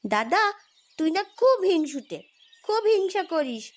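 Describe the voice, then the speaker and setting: A woman's voice: high-pitched, big pitch swings, a little slow. A woman voicing a whiny, quarrelsome little sister in a cartoon story. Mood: cross, whiny. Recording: music behind the voice.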